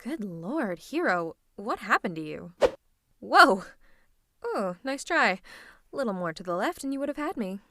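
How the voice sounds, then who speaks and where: Cocky, animated villainess, acted by a woman. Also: mid-range voice, moderate pace, dry recording. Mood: mocking, playful. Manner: teasing, mocking, theatrical. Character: cocky, flirtatious female villain taunting a hero. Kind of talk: scripted villain roleplay ASMR.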